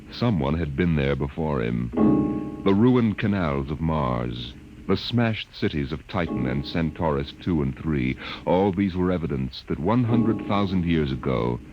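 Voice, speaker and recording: A man narrating a 1950s radio drama in a solemn, dramatic, deliberate style. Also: rumbling low. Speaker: announcer and narrator. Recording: dull 1950 radio recording with music.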